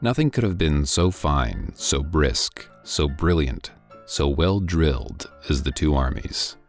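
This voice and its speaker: A man reading a classic novel aloud in scripted narration, with a measured, dry delivery. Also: very deep voice, expressive, soft background music. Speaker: audiobook reader.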